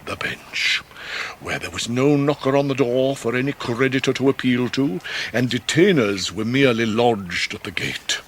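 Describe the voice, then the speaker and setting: A pompous Victorian English debtor played by a male actor, mid-pitched and grandly theatrical. He rolls out ornate, long-winded phrases with downcast dignity, as in a period radio drama. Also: moderate pace, fairly bright tone, slight background noise, lightly acted. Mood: gloomy, indignant.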